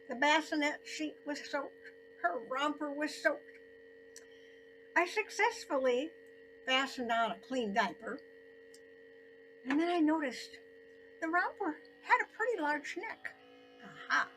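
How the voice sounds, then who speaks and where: Older female storyteller, slow and deliberate, expressive delivery with dramatic pauses, high register. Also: poor audio. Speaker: storyteller narrating her own story. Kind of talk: scripted personal story narration.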